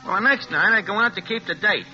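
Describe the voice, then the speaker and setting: An actor in a 1940s-style radio drama playing a brash, streetwise New swindler, slangy and full of stammering bluster. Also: male voice, sits high, fast talker, bright, forceful tone. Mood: cocky. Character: brash, streetwise American swindler narrating his own scheme. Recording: dull vintage radio recording with music.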